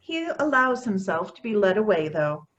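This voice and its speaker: A woman's voice: medium pitch, very animated, moderate pace, muffled recording. A woman reading stage directions as scripted narration for a play.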